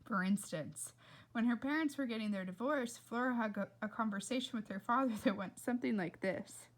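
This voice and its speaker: A woman reading a children's book aloud like a teacher, in an animated, expressive way with chatty asides to her listeners. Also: fairly high pitch, a little fast. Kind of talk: animated read-aloud narration.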